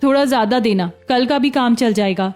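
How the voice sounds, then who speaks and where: A woman acting a high-pitched, wounded young beggar woman. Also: normal speed, a little mellow, natural voice. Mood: pleading. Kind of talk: animated story dialogue.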